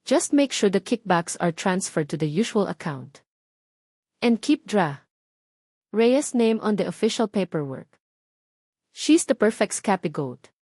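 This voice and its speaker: A woman's voice: medium pitch, very animated, slow, long pauses, darkish tone, no echo, clean studio sound. A Filipino woman voicing a scheming male villain in a story, agitated and defiant. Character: scheming male villain, panicked and angry when exposed.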